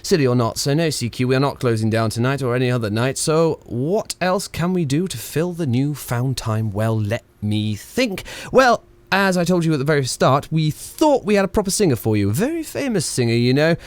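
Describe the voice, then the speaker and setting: A man's voice: medium pitch, sing-song, brisk, few pauses, no echo, natural voice. A man playing a cheeky, whimsical 1920s radio pioneer in a radio play, rambling theatrically with hesitant, playful asides to listeners. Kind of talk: 1920s radio play acting, comic monologue.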